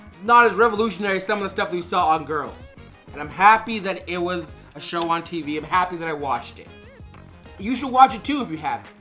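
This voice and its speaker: A man with a raspy, hoarse voice from being sick, reviewing a TV series to camera in a casual, animated, rambling way with frequent restarts. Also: high-pitched. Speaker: TV review vlogger. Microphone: on-camera shotgun mic.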